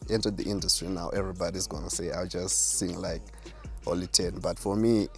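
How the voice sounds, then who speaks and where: Deep gravelly guy, low voice, cocky about his skills, bit of music under it. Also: fairly even intonation, brisk. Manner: self-assured, boastful about his skill. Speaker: rapper and artist, guest. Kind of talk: interview answers.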